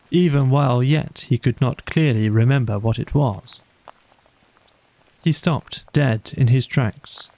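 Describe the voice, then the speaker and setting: A man narrating a bedtime storybook in a measured, soothing way, with deliberate phrasing. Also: mid-range voice, creaky, dark tone, dry recording. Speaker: audiobook narrator.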